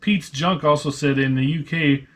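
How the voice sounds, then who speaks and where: A man's voice: on the high side, steady tone, moderate pace. A man with an American Midwestern accent chats casually and jokingly, thinking aloud as he works. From Nebraska, United States. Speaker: vlog host, online reseller. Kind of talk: casual chat while sorting and pricing items. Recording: noisy room with clicks.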